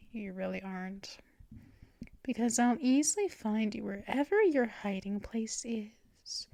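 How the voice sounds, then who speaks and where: A woman voicing a possessive, teasing female vampire mistress in an ASMR roleplay, speaking in soft, purring whispers. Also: on the high side, no echo, studio quality.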